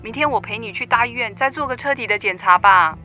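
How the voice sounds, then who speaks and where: An actress playing a worried, caring wife in a radio drama. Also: female voice, fairly high pitch, fast, bright, forceful tone, faint music behind.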